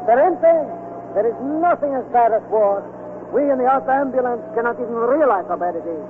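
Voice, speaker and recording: A noisy, narrow old radio recording carries a war-weary ambulance driver. The man speaks very high, earnest and gloomy. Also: expressive, fairly quick. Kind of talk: 1940s radio acting. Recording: noisy, phone-thin 1940s radio broadcast.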